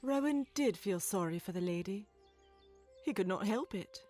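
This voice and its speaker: A woman narrating a scripted storybook tale in a measured, literary storytelling manner. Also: medium pitch, expressive, warm tone, soft background music.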